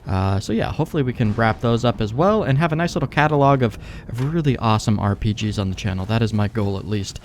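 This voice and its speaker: A man's voice: lowish voice, animated, fast, hardly any pauses, slight background noise. A young man giving rambling, laid-back, sweary let's play commentary as he games. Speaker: gaming YouTuber, let's play host.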